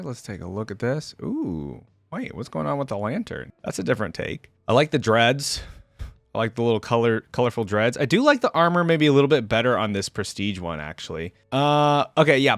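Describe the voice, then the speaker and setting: A man's voice: a little high, no room sound, clean studio sound. A man giving casual, unscripted reaction commentary as a video host, mulling over his opinions aloud in informal language.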